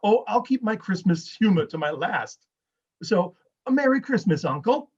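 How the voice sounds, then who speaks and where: A man's voice: high voice, sing-song, normal speed, husky, exaggerated voice. A man playing a cheerful, good-hearted nephew in an amateur dramatic reading, earnest and friendly. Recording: dull lo-fi video-call audio.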